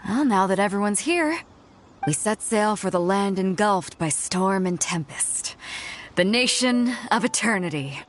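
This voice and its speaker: Woman acting an animated, commanding sea captain. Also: mid-range voice, measured pace, slight background noise, natural voice. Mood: grand. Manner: theatrical, commanding. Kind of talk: video game character acting.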